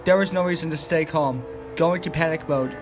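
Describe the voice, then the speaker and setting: Guy w a slightly high voice doing a solemn homemade emergency alert, kinda halting, music in the back. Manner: grave, deliberate, halting with stumbles. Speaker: announcer of a fictional emergency broadcast. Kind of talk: amateur emergency alert announcement, solemn reading.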